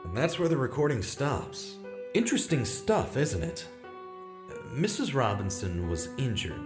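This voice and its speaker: A man's voice: medium pitch, sing-song, unhurried. A man playing an earnest small-town journalist, narrating dramatically and confidingly in a vintage-style radio drama. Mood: grave, confiding. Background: prominent instrumental music.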